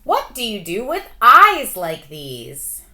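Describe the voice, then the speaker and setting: A woman in her forties, a teacher reading a picture book aloud to children, expressive and emphatic, with comments and questions along the way. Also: on the high side, slow. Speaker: elementary school teacher. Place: small furnished room at home, indoors. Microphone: webcam or laptop microphone at arm's length.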